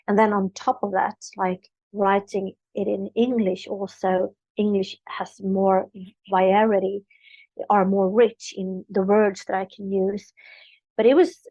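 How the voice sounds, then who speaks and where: Middle-aged Swedish-native woman, podcast guest, earnest flowing spiritual talk, flat delivery, dry close recording. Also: medium pitch, no echo, lo-fi sound. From Sweden. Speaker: author and spiritual coach. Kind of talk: podcast interview.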